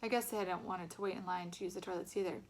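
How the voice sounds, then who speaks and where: A woman reading a storybook aloud as an expressive narrator. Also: on the low side, quick pace.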